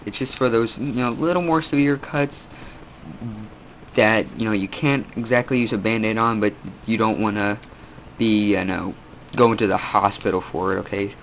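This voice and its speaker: Stuffy teen boy, lowish voice, halting and full of ums, muffled lo-fi recording. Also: steady tone, creaky. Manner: rambling, halting, many fillers. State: stuffed-up nose, sniffing. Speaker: video host. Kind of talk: casual gear show-and-tell. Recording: dull lo-fi audio, faint room noise.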